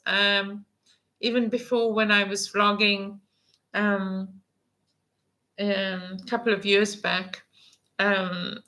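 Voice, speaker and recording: Bright, chatty woman vlogger. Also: mid-range voice. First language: English. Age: 50s. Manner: chatty and rambling, with many fillers and restarts. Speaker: vlog host. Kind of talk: casual live-stream chat.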